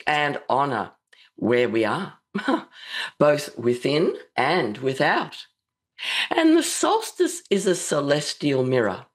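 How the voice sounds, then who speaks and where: A woman with a slightly croaky voice hosting a psychic radio show and leading a slow, soothing guided meditation. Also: rumbling low. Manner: slow, soothing, gently spiritual.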